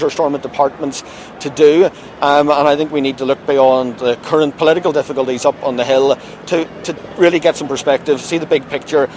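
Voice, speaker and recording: A man speaking in a measured, campaigning way in a news interview at a rally. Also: medium pitch. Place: outdoors at a city rally. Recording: outdoor traffic noise.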